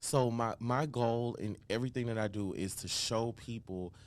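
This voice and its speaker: A man's voice: medium pitch, normal speed. A man, a rapper, being interviewed in a radio studio, talking emphatically and confidently. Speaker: rapper and guest. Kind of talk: casual interview.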